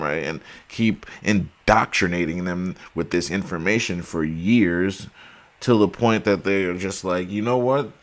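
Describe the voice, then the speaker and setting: A man talking casually and emphatically to his viewers, saying he is losing his voice. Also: fairly low pitch. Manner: emphatic, argumentative, addresses viewers as guys. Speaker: video host.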